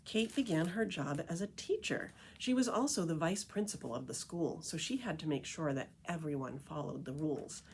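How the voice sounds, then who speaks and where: A woman reading a children's picture book aloud as a storyteller, with expressive, deliberate emphasis on key phrases. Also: deep voice, slight background noise.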